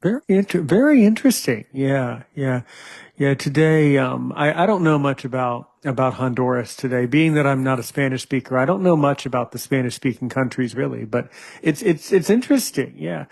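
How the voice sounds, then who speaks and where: A man's voice: medium pitch, animated, normal speed, creaky, a little mellow. A man in his thirties hosting a casual interview, hesitant and often repeating words as he thinks. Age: 30s. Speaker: host.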